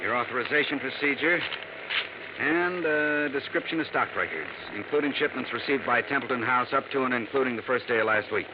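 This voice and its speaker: An actor playing a hurried, businesslike insurance agent in a 1950s radio drama. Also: male voice, medium pitch, background noise, natural voice. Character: brisk male insurance agent Lud Barlow. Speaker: insurance company boss. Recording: dull old radio broadcast.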